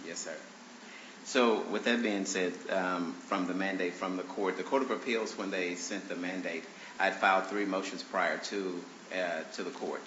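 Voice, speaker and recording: A man arguing his own motions before a judge in a courtroom, speaking haltingly with many fillers and restarts, his voice congested from allergies. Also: sits low, steady tone, a bit bright. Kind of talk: courtroom argument. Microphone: courtroom microphone on a stand. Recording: roomy, dull courtroom recording with faint hiss.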